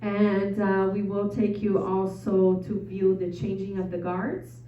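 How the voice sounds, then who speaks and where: A chatty woman with a Brazilian Portuguese accent presents to a live audience, filling gaps with ums and uhs. Her voice is mellow and warm. She sounds a bit distant from the mic in an echoey room, with a dull, muffled recording. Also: medium pitch, level tone, unhurried, a little hiss or hum. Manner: chatty, frequent ums and uhs, self-deprecating. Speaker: travel presenter at an info session.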